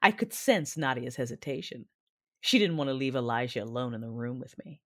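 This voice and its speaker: A woman playing a cool, shrewd strategist with a dark streak, narrating in the first person in a sci-fi audio drama. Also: extremely low pitch, fairly quick, no echo, clean studio sound. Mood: cool, calculating.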